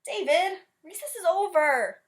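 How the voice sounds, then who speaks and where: A woman's voice: extremely high pitch, expressive, unhurried, pitched above the performer's own voice. A woman voicing a strict, exasperated schoolteacher giving firm, short orders to a naughty pupil in a picture-book read-aloud.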